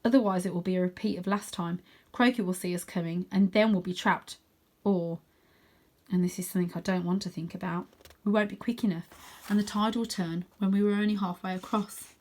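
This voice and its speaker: Woman doing storytime for kids, expressive read-aloud, chats to the listeners a bit. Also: on the low side, fairly quick. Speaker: reader of children's stories.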